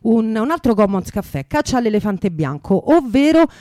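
A woman's voice: a little high, sing-song, moderate pace, warm tone, dry recording. Italian woman doing radio, chatty and enthusiastic, wanders off a bit while listing upcoming events, dry studio sound. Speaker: radio show host. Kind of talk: chatty event announcements, unscripted.

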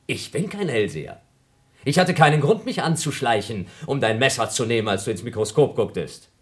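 A man's voice: mid-range voice, big pitch swings, brisk, natural voice. An actor playing a younger astronaut in a German radio drama, arguing with cold, pedantic logic and accusing his rival. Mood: cold, reasoning. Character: younger male astronaut, cunning, argumentative, coldly accusing rival.

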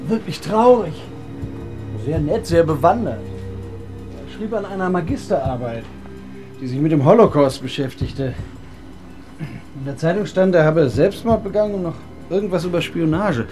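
An actor playing a bookish, matter-of-fact archivist in a German radio drama, speaking in terse phrases. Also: male voice, a little high, animated, moderate pace, pauses often, a little mellow, close to an everyday voice. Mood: regretful, curious. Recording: music behind the voice.

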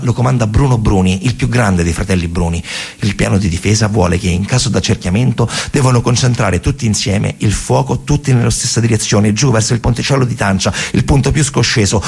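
Male theatrical storyteller, central Italian accent, rough husky low voice, emphatic repetitive delivery for a radio monologue. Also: darkish tone. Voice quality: gravelly, husky. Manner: short emphatic phrases with repetition.

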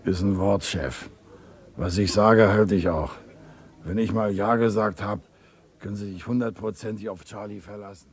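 A man acting a wary, streetwise small-time burglar in a German radio crime drama, laconic and slangy, with a gravelly, raspy voice. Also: fairly low pitch, steady tone, moderate pace, lightly acted. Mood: cheeky, knowing.

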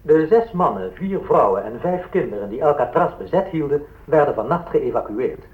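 An actor playing a formal news reporter in a radio drama, reading bulletins emphatically. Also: male voice, on the high side, lively intonation, moderate pace, roomy sound, narrow, tinny audio, close to an everyday voice. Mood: formal, urgent.

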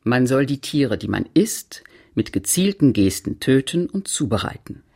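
A narrator in a radio play, reflectively recalling a postwar provincial childhood in measured, deliberate, literary recitation. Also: medium pitch, big pitch swings, gravelly, dark tone.

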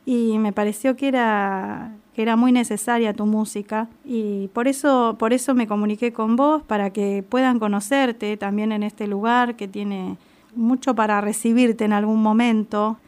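A woman who hosts a community radio show, reading an introduction and interviewing a guest in an unhurried, affirming way. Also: a little high, steady tone, darkish tone.

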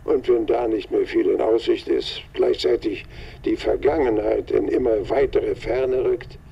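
A man playing a pensive, ageing narrator in a radio play, gravelly and husky, reflective and understated. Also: lowish voice, fairly even intonation, a little slow, warm tone, lo-fi sound, heavily acted. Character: pensive, ageing man musing on dreams and death.

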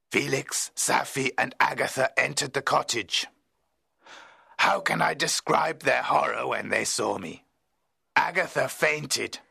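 A man voicing a lonely, anguished outcast monster who tells his own story in a dramatic audiobook, gravelly, husky and theatrical. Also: a little high, sharp tone, no echo, studio quality, pitched above the performer's own voice, full character voice. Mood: horrified, sorrowful. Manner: intense, deliberate, theatrical.

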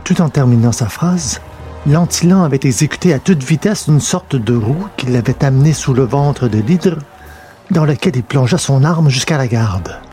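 Mellow male storyteller over faint music. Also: mid-range voice, lively intonation, normal speed. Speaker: narrator. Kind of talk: scripted narration of a science fiction adventure.

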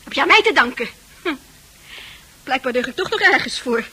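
An actress in a Dutch radio play playing a scornful, proud prostitute with a brash, mocking manner. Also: female voice, sits high, animated, moderate pace, sharp tone, poor audio. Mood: angry, proud. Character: hard, scornful prostitute, proud, wounded underneath.

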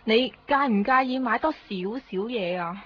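Woman playing high-voiced, flustered young cashier. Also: normal speed, close to an everyday voice. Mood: apologetic. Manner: timid, apologetic. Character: apologetic, flustered, error-prone young female supermarket cashier. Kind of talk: Cantonese radio drama acting. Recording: dull lo-fi radio recording.

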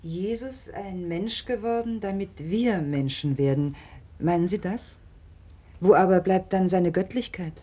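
Radio play mother: middle-aged, sceptical, concerned, low dark voice with some huskiness. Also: measured pace, slightly rough. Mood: doubting. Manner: questioning, doubtful. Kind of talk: German radio play dialogue.

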